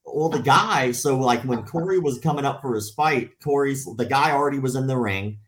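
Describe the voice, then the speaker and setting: A man's voice: medium pitch, normal speed. A man in his late thirties, a podcast co-host and striking coach, telling stories in casual chat and acting out quotes. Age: 30s. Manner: animated storyteller, acts out quotes.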